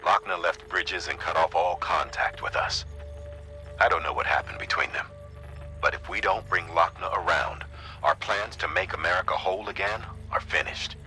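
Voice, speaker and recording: An actor playing an earnest, grave official in a video game, explaining things in a measured, conversational, persuasive way. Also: male voice, low-pitched, pushed and bright, soft background music, poor audio. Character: grave male second-in-command briefing an agent, earnest, folksy. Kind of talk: dramatic video game exposition.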